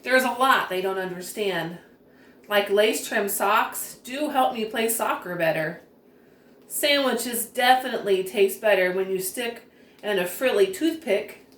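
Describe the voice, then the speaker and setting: A woman's voice: medium pitch, lively intonation, measured pace. A woman reading a picture book aloud expressively, enunciating with care for young children. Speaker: children's story reader. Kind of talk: expressive storybook read-aloud. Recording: roomy echo, distant microphone.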